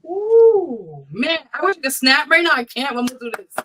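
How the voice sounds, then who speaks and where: A woman's voice: sits high, sing-song, normal speed, background noise. A woman hosting a live radio interview in a casual, encouraging and effusive way. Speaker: radio show host.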